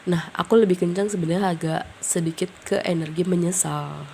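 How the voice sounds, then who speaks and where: A woman reads tarot cards in a casual, unhurried way, her voice low and gravelly with a fried edge. A cold has left her stuffy and nasal. She muses aloud with plenty of hesitant fillers, and a faint hiss sits under the recording. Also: steady tone, mellow. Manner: musing, thinking aloud with frequent fillers; mixes in English words. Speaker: tarot reader.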